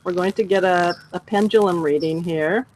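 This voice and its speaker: A woman's voice: on the low side, normal speed, a little mellow, slight background noise. A woman giving a chakra reading in a casual talk, reassuring and saying okay often. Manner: reassuring, says okay a lot. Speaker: energy healer.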